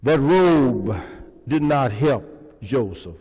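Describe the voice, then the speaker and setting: Older pastor preaching slowly in a church. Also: male voice, mid-range voice, lively intonation, dark tone, breathy edge. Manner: preaching with call-and-response questions to the congregation. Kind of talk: sermon. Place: large church sanctuary, indoors. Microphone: pulpit microphone. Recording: long reverb of a church hall.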